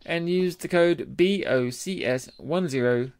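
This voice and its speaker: A man's voice: fairly high pitch, unhurried, darkish tone, clean tone, no echo. A man with a British accent, chatting casually as co-host of a beer podcast.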